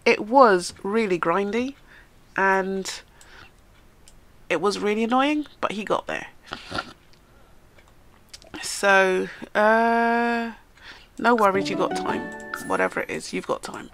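A woman's voice: medium pitch, sing-song, slow pace, pauses often, a bit bright, soft background music. A woman hosting a casual let's-play, chatting to the game characters as she plays, sounding nasal and stuffed up from a cold. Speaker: gaming channel host.

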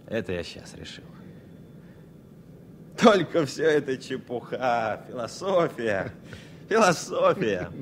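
A musing bachelor flyer, slow talker, old soviet radio drama, slight background noise. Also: male voice, mid-range voice, big pitch swings. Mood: dismissive. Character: musing bachelor airman facing danger. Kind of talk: 1970s Soviet radio play acting. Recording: faintly noisy vintage radio recording.

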